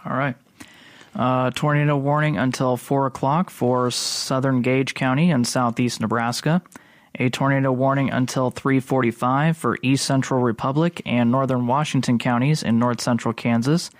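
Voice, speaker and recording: A man's voice: mid-range voice, fairly even intonation, moderate pace, no echo. Man, mid-range voice, radio announcer, reading warning lists, matter-of-fact, methodical, very dry sound. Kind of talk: read-out list of official warnings.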